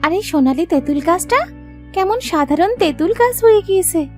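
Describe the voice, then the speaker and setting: A plucky little sister from a cartoon fairy tale, voiced by a woman. Her pitch is extremely high and childlike, her manner earnest, and light music plays behind her. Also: lively intonation, normal speed.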